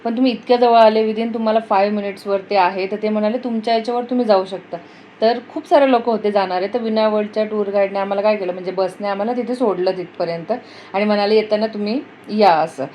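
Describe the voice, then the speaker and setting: A woman's voice: mid-range voice, steady tone, quick pace, muffled recording, a little hiss or hum. A woman from India chatting casually as a travel vlogger, telling stories and mixing in English words. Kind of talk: casual vlog chat.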